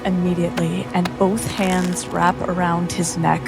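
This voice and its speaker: A woman playing a tabletop game, narrating her character in the third person with intense, halting, dramatic delivery. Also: on the low side, little pitch movement, vocal fry, loud music behind the voice, low-quality recording. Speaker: tabletop player.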